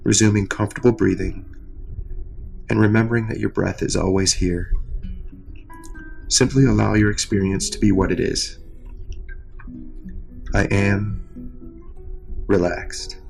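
Gravelly, low-voiced man guiding meditation. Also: fairly even intonation, darkish tone, faint music behind. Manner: slow, soothing, measured, with long pauses. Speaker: meditation coach, nurse practitioner. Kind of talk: guided meditation, scripted instruction.

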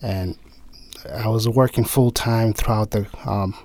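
A man, a guest in an interview who speaks haltingly, with many restarts and fillers. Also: fairly low pitch, fairly even intonation, a little mellow. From Nepal. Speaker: interview guest, government engineer. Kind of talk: conversational interview.

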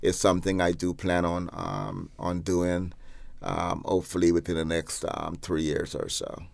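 A middle-aged man from Guyana answering interview questions, halting with many pauses and restarts. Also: sits low, vocal fry, a little mellow, no echo. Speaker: interviewee, former Army staff.

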